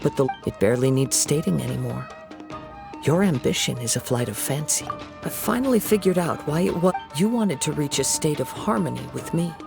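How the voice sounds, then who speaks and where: A man playing a calm, gentle young wandering swordsman in an anime video game, speaking in a composed, measured, slightly formal way. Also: on the high side, gravelly. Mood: regretful, firm. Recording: game music behind the voice. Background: game music.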